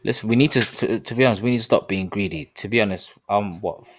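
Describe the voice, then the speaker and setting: A man's voice: on the low side, quick pace. A man in his thirties co-hosting a casual discussion podcast, talking in an earnest, rambling way with promotional asides. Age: 30s. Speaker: podcast co-host.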